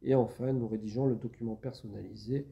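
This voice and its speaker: Man with a very dark, relaxed voice. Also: mid-range voice, a little fast, creaky, dull audio. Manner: structured, step-by-step explaining. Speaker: public service agent, interviewee. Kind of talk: explanatory interview answers.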